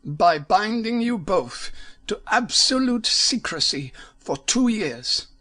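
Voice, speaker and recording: A man's voice: sits high, very animated, slow, sharp tone, pitched above the performer's own voice, exaggerated voice. A man voicing a masked Bohemian nobleman who is formal and commanding, with a German accent. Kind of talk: audiobook character voice.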